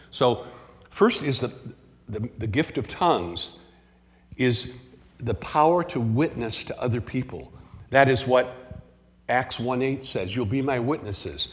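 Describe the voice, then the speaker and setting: A man preaching a sermon, earnest and measured, often restarting his phrases. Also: on the low side. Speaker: pastor. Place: church sanctuary. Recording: long hall reverb in a church.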